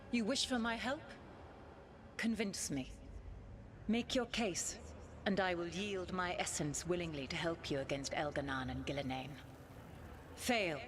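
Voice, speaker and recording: A woman playing an ancient, proud elven goddess spirit in a video game, speaking formally and with haughty, deliberate command. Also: lowish voice. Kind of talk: dramatic video game acting.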